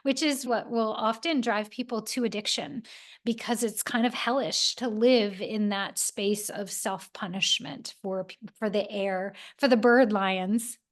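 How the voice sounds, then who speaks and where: A woman hosting a podcast, explaining ideas in a casual, animated way. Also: medium pitch, measured pace. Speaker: podcast host.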